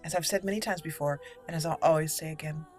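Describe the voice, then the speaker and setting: Chatty woman gamer over soft music. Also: low voice, fast talker. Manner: chatty, thinking aloud. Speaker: gaming channel host. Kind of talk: let's play commentary with roleplay.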